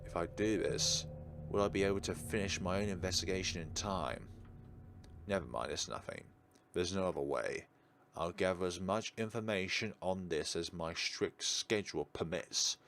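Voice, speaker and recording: A man's voice: deep voice, moderate pace, noisy background. A man doing let's play commentary, reading visual novel text aloud expressively and dropping in chatty asides. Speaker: gaming video host. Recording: music behind the voice. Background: game music.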